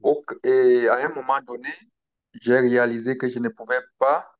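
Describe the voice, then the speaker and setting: A young man from Cameroon, speaking slowly and hesitantly as an interview guest about his own story. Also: mid-range voice, steady tone, no room sound, dull audio. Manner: slow, hesitant, with pauses and restarts. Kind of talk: interview, personal account.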